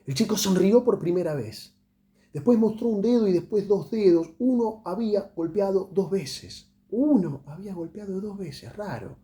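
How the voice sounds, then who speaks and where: A man reads a literary short story aloud in a dramatic way, letting phrases trail off into pauses. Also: sits high, lively intonation, warm tone. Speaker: audiobook reader.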